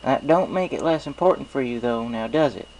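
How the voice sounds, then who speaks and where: A man's voice: medium pitch, animated, a little fast, a little mellow, a little hiss or hum, pitched above the performer's own voice, lightly acted, poor audio. A man voicing a friendly, honest young female country pony with a folksy drawl, in a storybook reading. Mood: earnest, reassuring. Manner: folksy drawl with dropped g's.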